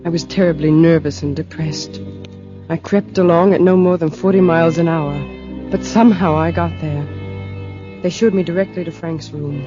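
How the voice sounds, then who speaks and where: Actress as an anxious wife, dull vintage radio. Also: female voice, on the low side, fairly even intonation, moderate pace, close to an everyday voice. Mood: haunted, subdued. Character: anxious, guilt-ridden wife confessing her story. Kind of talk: 1940s radio drama acting, first-person narration. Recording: dull vintage radio recording, music behind.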